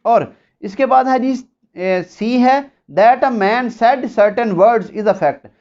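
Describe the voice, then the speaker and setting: A speaker with a Pakistani accent giving an explanatory law lecture with examples, in a didactic, deliberate way. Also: mid-range voice, a little mellow. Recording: dull, lo-fi audio.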